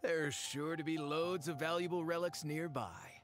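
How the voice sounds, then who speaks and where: A man's voice: a little high, steady tone, normal speed, over music, natural voice. An actor playing a brash, greedy, impatient male treasure seeker in a video game. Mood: eager, scheming. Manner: impatient, sarcastic. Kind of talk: English video game voice acting.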